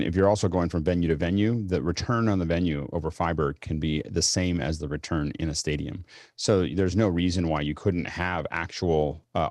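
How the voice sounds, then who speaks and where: A man's voice: very deep voice, darkish tone, no echo. A man hosting a live online tech panel, handing out questions and rambling through answers with many restarts. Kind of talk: casual panel moderation.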